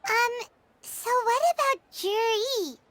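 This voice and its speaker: A woman voicing a tiny, excitable, curious childlike fairy companion in a video game. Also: extremely high pitch, slow, background noise. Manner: refers to self in third person, exclamatory.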